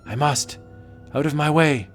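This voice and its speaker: A man's voice: fairly high pitch, a little slow, soft background music, close to an everyday voice. A haughty young colonial officer from a vintage comic audio drama, played by a man. He is arrogant and skeptical, issuing commands like a bully who expects to be obeyed. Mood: agitated.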